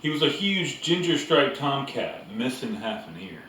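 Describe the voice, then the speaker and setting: A man reading a story aloud as narrator, with deliberate phrasing and pauses. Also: medium pitch, sing-song, fairly bright tone. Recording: echoey room, distant mic, background noise.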